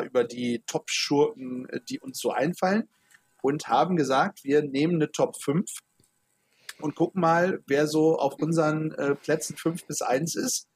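A man's voice: fairly high pitch, a little mellow, breathy edge. A man hosting a podcast in casual chat, chatty and full of fillers. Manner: chatty, many fillers, talks of his age. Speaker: podcast host.

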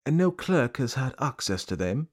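A man voicing a fussy, precise male detective who asks polite, probing questions in a deliberate way. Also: mid-range voice, big pitch swings, voice pushed up.

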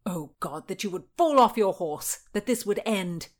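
A woman's voice: medium pitch, lively intonation, moderate pace, lightly acted. A woman voicing a stern, outraged Tudor lady and loyal friend in a period audiobook, emphatic and scolding.

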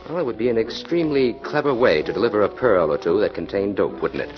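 Man voicing a self-assured detective, very deep voice, muffled old radio recording, music underneath. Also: quick pace, close to an everyday voice. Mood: explaining. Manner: even, confident, matter-of-fact. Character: calm shrewd male detective, self-assured. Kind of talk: 1940s radio acting.

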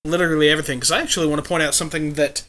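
A man co-hosting a casual podcast, sounding stuffy from allergies and chatting in a relaxed way. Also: a little high, racing, slight background noise. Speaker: podcast co-host. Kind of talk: casual chat.

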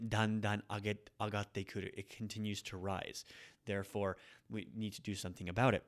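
A man with a lowish voice hosting a laid-back podcast lesson on Japanese grammar. He often corrects himself and drops Japanese phrases into his explanations. He sounds like a native English speaker. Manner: relaxed, self-correcting, mixes in Japanese phrases. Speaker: podcast host teaching Japanese. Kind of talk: casual spoken grammar lesson.

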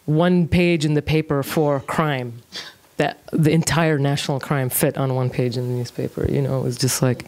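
Relaxed woman in her thirties, musing. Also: very deep voice, moderate pace, hardly any pauses. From Kuwait. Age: 30s. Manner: relaxed, thinks aloud with many fillers. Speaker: interview guest, music producer. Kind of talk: casual conversational interview.

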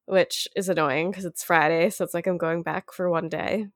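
Congested young woman, slow and winded. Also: lowish voice, fairly even intonation, dry recording, studio quality. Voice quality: nasal from congestion. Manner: talks slower and quieter, winded. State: congested and short of breath. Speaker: sick friend. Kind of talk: casual chat.

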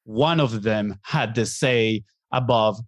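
A man's voice: on the low side, slow pace, dry recording, studio quality. A man in his thirties from Venezuela, earnestly explaining his views as an interview guest and often checking in with "right?". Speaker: guest, business co-founder. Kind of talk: casual interview conversation.

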